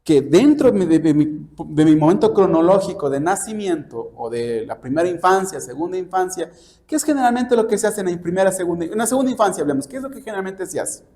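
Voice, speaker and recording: Male preacher, high pitch, mellow tone, didactic Bible teaching, frequent rhetorical questions. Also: animated, fast. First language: Spanish. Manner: didactic, often asking rhetorical and checking questions. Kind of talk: expository sermon taught like a lesson.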